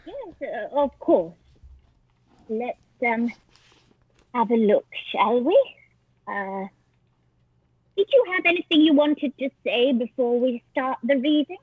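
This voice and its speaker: A woman's voice: on the high side, animated, slow, pauses often, slightly rough, dry recording, full character voice. A woman playing an evasive, sly old woman in her eighties in improvised comedy, hesitating over a video call. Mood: coy. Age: 80s. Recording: tinny video call audio.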